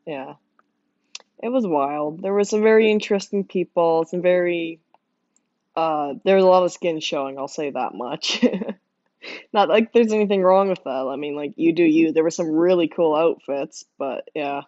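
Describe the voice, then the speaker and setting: A young woman with a hoarse, worn-out voice chatting casually with her stream viewers, laughing often and rambling with lots of fillers. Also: low voice, moderate pace, darkish tone, dry recording. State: hoarse, losing voice from screaming. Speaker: livestreamer drawing art. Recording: dull lo-fi dry mic sound.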